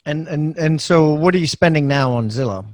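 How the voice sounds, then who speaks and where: A man's voice: a little high, expressive, normal speed, dry recording, studio quality. A man hosting a podcast interview, asking questions in an informal, conversational way. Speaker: podcast host interviewing a guest. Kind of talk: casual interview chat.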